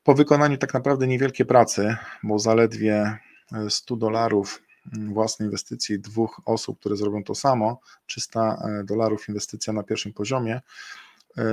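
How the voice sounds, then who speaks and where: Man, middle-aged, webinar presenter, low flat voice, hesitant with fillers, dry computer mic. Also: gravelly, no echo. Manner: hesitant, many fillers and self-corrections. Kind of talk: screen-share webinar presentation. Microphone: webcam and computer mic on a video call.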